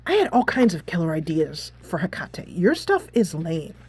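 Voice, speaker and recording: A woman's voice: lowish voice, sing-song, moderate pace, darkish tone, husky, soft background music, pitched below the performer's own voice, exaggerated voice. A woman voicing a scornful, edgy teenage girl from a game, with a dismissive drawl. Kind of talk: visual novel character read aloud.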